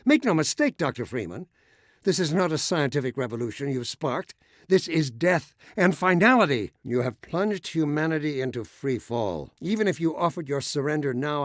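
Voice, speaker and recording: Pompous authoritarian administrator, played by an actor. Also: male voice, fairly high pitch, dry recording, clean studio sound, close to an everyday voice. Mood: bitter reproach. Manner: measured, formal, oratorical, pompous. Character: pompous authoritarian male administrator, polished collaborator addressing his subjects. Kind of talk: scripted dramatic speech in a video game.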